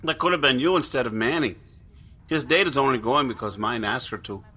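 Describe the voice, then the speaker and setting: A man's voice: mid-range voice, a little fast, pushed and bright, husky. A man voicing a sociable, brotherly young guy in an audio story, casual and slangy. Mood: chummy, teasing. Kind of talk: audio horror story character voice.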